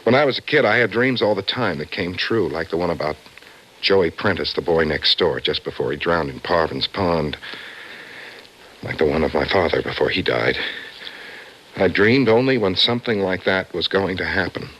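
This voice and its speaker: Anxious, brooding married man, low and forceful, vintage radio drama with faint noise. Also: animated, normal speed, highs cut off. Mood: brooding, earnest. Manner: earnest, brooding. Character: anxious, haunted married office man convinced his dreams come true. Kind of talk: old-time radio drama acting. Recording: noisy vintage radio recording.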